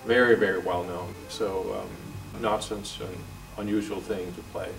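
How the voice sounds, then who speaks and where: A man in his forties from Denmark with a Danish accent, answering interview questions in a relaxed, thoughtful way with filler pauses. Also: on the low side, vocal fry, distant mic, music in the background, poor audio. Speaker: guest jazz violinist. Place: office room, indoors.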